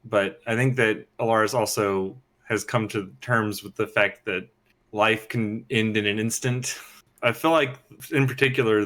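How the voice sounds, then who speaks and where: A man's voice: on the low side, fairly bright tone. A man talking casually and reflectively, in an informal, tentative manner. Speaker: podcast regular, tabletop role-playing player.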